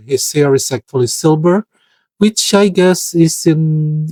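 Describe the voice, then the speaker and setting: A man from the Philippines, giving a product review voice-over in a careful, hesitant way, often pausing to search for words. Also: on the high side, a little mellow, no echo. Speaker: toy reviewer.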